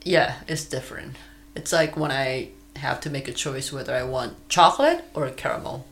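A podcast co-host chatting casually, easygoing and unhurried, laughing often. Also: mid-range voice, gravelly, a bit bright. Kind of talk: casual chat.

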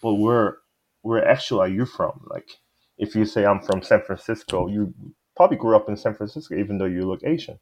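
A man's voice: lowish voice, brisk. A man who grew up in South Korea and speaks English with a Korean accent, chatting easily as a panel guest and often saying "you know". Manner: easygoing, laughs often, fillers like "you know". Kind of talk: casual panel discussion. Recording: dull lo-fi sound.

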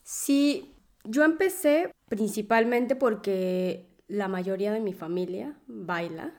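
A woman's voice: medium pitch, slow pace, a little mellow. A woman, a dance teacher, telling her story as a podcast guest in a chatty way, often adding "¿no?". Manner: chatty, fills with "¿no?" and "este". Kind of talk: casual interview answers.

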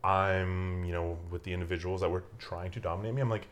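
A man's voice: deep voice, fairly even intonation, a little fast, a bit bright. A man who hosts a podcast, talking in a laid-back, reflective way with casual swearing. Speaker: podcast host. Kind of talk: casual conversational chat.

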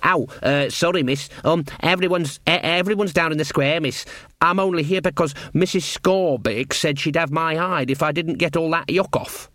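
A man's voice: on the high side, normal speed, fairly bright tone, no room sound, studio quality, voice pushed up, lightly acted. A man voicing a humble young castle servant with rustic grammar and a deferential, literal-minded manner in a storybook. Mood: nervous.

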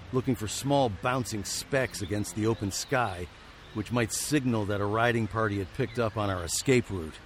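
A man playing a weary, wry nineteenth-century fugitive, telling his tale in a deliberate, literary way for a radio drama. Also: fairly low pitch, a little hiss or hum, natural voice. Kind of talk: radio drama narration and acting.

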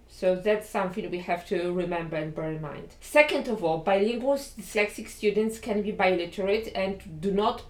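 A woman from Poland with a Polish accent, whose first language is Polish, giving an educational talk. She explains things point by point and often checks in with a quick "right?". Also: mid-range voice. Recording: echoey room.

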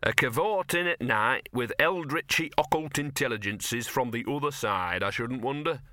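A man's voice: medium pitch, lively intonation, moderate pace, pushed and bright, no echo, clean studio sound. A man voicing a sullen little dog in an audiobook, muttering and whining self-pityingly in slangy, colloquial speech. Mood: conspiratorial. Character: grumbling, sullen small bow-legged talking dog.

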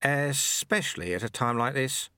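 A man voicing a little bald wrinkly old man who is sly, kindly and gently teasing. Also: lively intonation, normal speed, smooth, no echo, studio quality. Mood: amused kindly. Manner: genial, gently teasing, high and bright.

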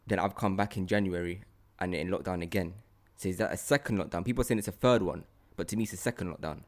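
Low-voiced London man hosting a podcast. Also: quick pace. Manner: informal, slangy, repeats himself for emphasis. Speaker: podcast host. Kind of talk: casual chat.

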